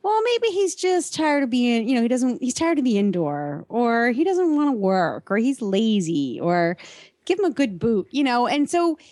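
A woman's voice: a little high, a little mellow, no room sound, clean studio sound. A woman hosting a podcast, chatting casually in a playful, colloquial way. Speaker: podcast host. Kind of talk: casual chat, conversational interviewing.

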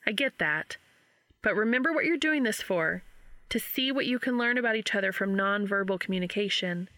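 A woman's voice: medium pitch, expressive, fairly quick, pushed and bright, no room sound. A woman voicing an upbeat, teasing young woman who runs a study, frank and patient in manner. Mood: upbeat, careful.

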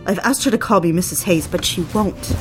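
An actress playing a fragile, jealous, lonely young wife in a period audio drama, pleading, hesitant and anxious. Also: female voice, fairly low pitch, fairly quick, music in the background, natural voice. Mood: anxious, defensive.

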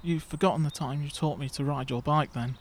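A man plays a put-upon young lad in an audio drama, questioning everything with dry grumbles. His voice sits a little high, over faint background noise. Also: a little fast, close to an everyday voice. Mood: grumbling, wary. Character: sceptical, put-upon young male schoolmate.

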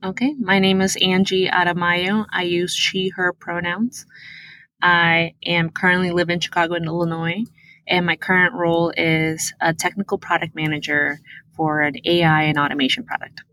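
Bright-voiced woman from Peru, low pitch. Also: moderate pace, dry recording. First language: Spanish. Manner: earnest, animated storyteller. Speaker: guest, tech product manager. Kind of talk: interview answers, personal storytelling.

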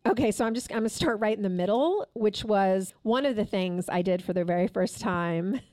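A woman's voice: medium pitch, animated, a little mellow, dry recording. Candid woman reading her memoir. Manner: frank and candid, reads with measured pauses. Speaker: guest author. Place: live taping at a store event.

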